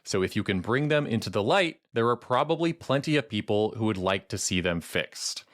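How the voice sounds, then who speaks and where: A man hosting a policy podcast, reading a measured, earnest introduction and then interviewing a guest. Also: lowish voice, lively intonation, a bit bright. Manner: measured, clear and earnest delivery. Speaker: podcast host.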